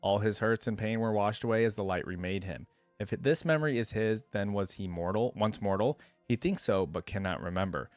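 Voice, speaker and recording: A man reading stories aloud and reacting casually as a video host, expressive and informal. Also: on the low side, a little fast, no room sound, faint music behind. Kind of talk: reading lore aloud with casual off-the-cuff commentary.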